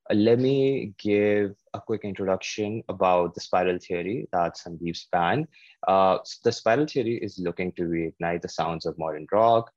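A man with a very low voice hosts a relaxed podcast interview. He talks in a halting way and often restarts his words. The sound is dry and close, with no room around it. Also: no echo, highs cut off. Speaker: podcast host. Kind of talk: casual interview.

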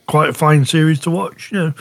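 A man's voice: a little high, a little fast, vocal fry, fairly bright tone, no echo, studio quality. A man co-hosting a podcast in casual conversation, speaking in a hesitant, hedging way. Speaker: podcast co-host. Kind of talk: casual chat.

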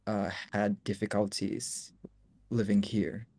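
A young man who co-hosts, a native Japanese speaker, talks thoughtfully and softly, moving between English and Japanese. His voice is low and mellow. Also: flat delivery, slow, slightly rough. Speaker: co-host. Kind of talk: casual chat.

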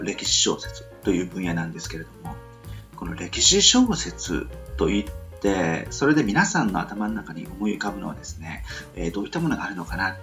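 A man hosting a solo radio show in a casual, rambling monologue, speaking politely in halting phrases padded with fillers. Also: low-pitched, a bit bright.

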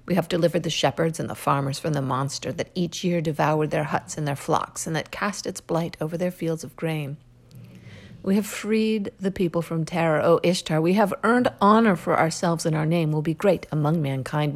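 A woman reading aloud, voicing a proud, defiant young male hero-king who declaims loudly. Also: deep voice, moderate pace. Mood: boastful.

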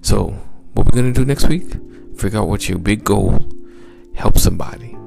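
A man hosting a motivational podcast as a mindset coach, with a smooth, gravelly low voice and upbeat, repetitive exhortations. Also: normal speed, a little mellow, soft background music.

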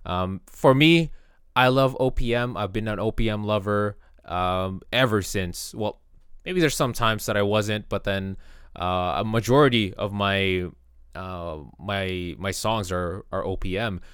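A man hosting a podcast, talking casually in a halting way with many restarts. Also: lowish voice, a bit bright, smooth, no echo, clean studio sound. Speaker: podcast host. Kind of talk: casual chat.

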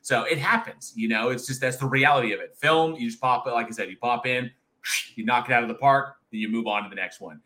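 Bright-voiced man chatting casually. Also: medium pitch, brisk. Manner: candid, swears freely, restarts sentences. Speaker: co-host of horror review show. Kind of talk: casual livestream chat.

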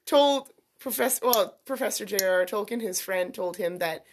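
A woman's voice: medium pitch, moderate pace, noisy background. A woman co-hosting a casual podcast, a little froggy and sniffly from a cold, chatting and telling stories with a teasing humor. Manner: chatty, storytelling, self-teasing. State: congested with a cold. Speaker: podcast co-host. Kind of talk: casual chat.

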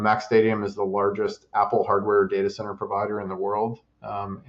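A man answering interview questions about startup investing and advising, in a measured way with many fillers and restarts. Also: on the low side, muffled recording.

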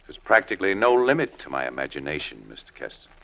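Low-voiced, hard-edged American newspaperman. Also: big pitch swings, quick pace, gravelly, bright, forceful tone, heavily acted, low-quality recording. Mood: cool, needling. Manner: slangy, cool, needling. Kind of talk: 1940s radio drama acting.

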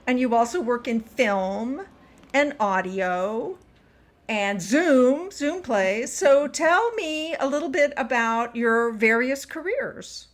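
A woman hosting a podcast, giving introductions and putting questions to a guest in a measured interview style. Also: a little high, lively intonation.

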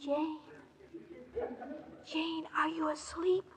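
Sweet, dopey young female, 1940s radio sitcom. Childlike, slow delivery, extremely high voice, muffled noisy recording. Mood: sleepy, plaintive. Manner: childlike, earnest, deadpan innocence. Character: dim-witted, sweet, naive young woman, childlike and earnest. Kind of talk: 1940s radio comedy acting. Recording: muffled old radio recording, clear hiss.

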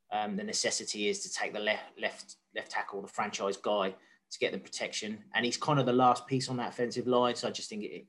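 A man's voice: fairly low pitch, fairly bright tone. A man co-hosting a team podcast, talking through a pick hesitantly with trailing sentences. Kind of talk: casual sports chat.

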